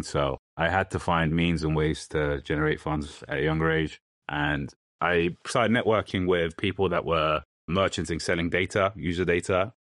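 A man's voice: low-pitched, no room sound, studio quality. A man, giving a personal account as a guest in an interview. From London, England. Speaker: podcast guest.

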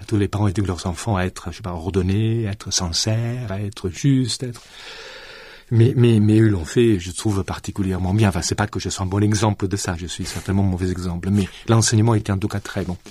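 A man's voice: on the low side, fairly even intonation, fairly quick, warm tone, no echo. A man from southern France with a southern French accent, a guest speaking reflectively in a radio interview and adding frequent "hein" and "absolument". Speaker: guest, student centre director.

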